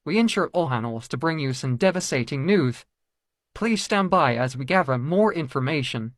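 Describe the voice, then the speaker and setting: A man's voice: a little high, animated, moderate pace, dry recording, clean studio sound, lightly acted. A man playing a TV continuity announcer, formally and apologetically interrupting with grave news. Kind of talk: amateur mock emergency broadcast announcement.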